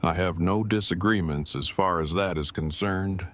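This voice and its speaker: A male actor plays a pedantic, devoted groom in an audio drama. His voice sits fairly low. He talks in long, formal, stilted sentences, choosing elaborate words for simple things. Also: moderate pace, close to an everyday voice. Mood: earnest, curious. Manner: stilted, wordy, formal phrasing.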